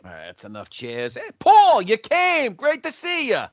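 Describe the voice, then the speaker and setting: A man's voice: very high-pitched, wildly swooping pitch, unhurried, a bit bright, no room sound, lo-fi sound, heavily acted. A man playing an upbeat, eager video store event organizer in a comedic audio play. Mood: busy, welcoming.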